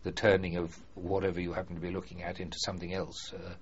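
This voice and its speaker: An older man being interviewed about his past, speaking formally and hesitantly with many pauses and fillers. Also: deep voice, monotone. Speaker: former prisoner of war, interviewee. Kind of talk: oral history interview. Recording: muffled archive recording with faint hiss.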